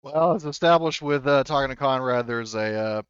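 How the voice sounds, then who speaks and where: Male game master, smooth mid-range voice, casual narration, dry slightly muffled recording. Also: mid-range voice, normal speed, a bit bright, dry recording.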